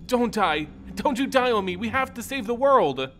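Man as a high-pitched, desperate warrior woman. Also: expressive, normal speed, a bit bright. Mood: desperate grief. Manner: crying out, impassioned. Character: desperate, fierce female warrior, pleading.

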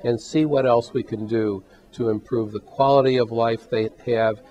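Measured older male doctor, dull sound. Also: medium pitch, steady tone, dark tone. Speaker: heart failure physician. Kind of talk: interview testimonial. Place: hospital exam room.

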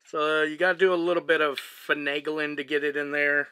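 A man in his thirties with a Texan accent explains his camera rig step by step, relaxed and chatty. His voice is bright and sharp. It is recorded dry and close inside a small truck cab. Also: on the high side, fairly quick, clean tone, no room sound. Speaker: vlogger. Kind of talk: casual gear demonstration. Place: small living cabin of a truck, indoors.

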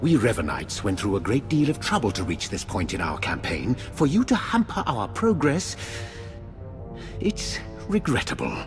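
A smug, scheming villain. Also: male voice, on the low side, huge pitch swings, moderate pace. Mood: contemptuous. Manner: condescending and theatrical. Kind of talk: video game voice acting. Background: game music.

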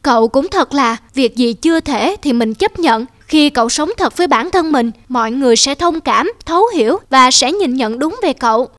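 A woman voicing a kind, sensible cartoon boy, reassuring and gently advising. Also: high-pitched, expressive, normal speed, hardly any pauses, no echo, faint music behind. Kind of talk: cartoon dubbing.

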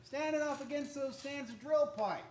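An actor playing a nervous, easily frightened oil-field man in a live old-time radio play, speaking haltingly and repeating his words. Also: very high voice, darkish tone. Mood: startled, afraid.

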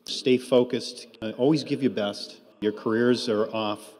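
A man's voice: medium pitch, steady tone, measured pace. A man giving a brief ceremony speech. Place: large indoor auditorium. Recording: reverberant hall, very loud crowd noise. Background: loud crowd.

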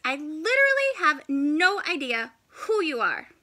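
A whiny little storybook penguin acted by a woman grumbles through endless complaints. The voice is very high and sharp, swinging dramatically in pitch. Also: big pitch swings, measured pace, heavily acted. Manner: complaining, exasperated, melodramatic. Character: grumpy, whiny young male penguin full of complaints.

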